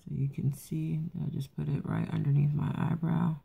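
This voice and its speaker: A woman with a raspy morning voice casually talking through a quick makeup routine, rambling a bit with fillers and restarts. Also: low voice, level tone, mellow. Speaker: beauty vlogger. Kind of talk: casual makeup tutorial chat. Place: at home getting ready for work.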